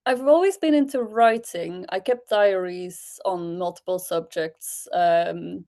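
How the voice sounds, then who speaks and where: A young woman from Belgium whose first language is Dutch, a spoken word poet talking casually in an interview, with frequent pauses and soft laughs. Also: lowish voice. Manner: hesitant, with frequent ums and laughs.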